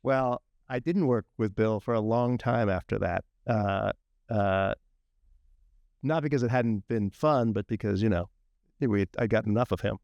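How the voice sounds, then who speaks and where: A man's voice: lowish voice, creaky, darkish tone, dry recording, lo-fi sound. Dry-humored man on a panel. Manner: dry humor, halting restarts. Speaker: executive producer. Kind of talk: casual panel Q&A talk.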